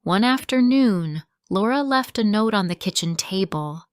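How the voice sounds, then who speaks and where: A woman reading a simple first-person story for English learners, in slow, clearly spoken sentences. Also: medium pitch, lively intonation, dry recording, studio quality.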